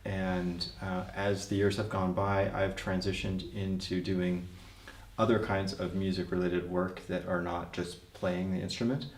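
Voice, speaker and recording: Man from oregon, very deep voice, gravelly fry, halting with fillers, echoey room. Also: level tone. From Portland, Oregon, USA. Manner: hesitant, with many fillers. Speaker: freelance musician. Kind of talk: interview talk.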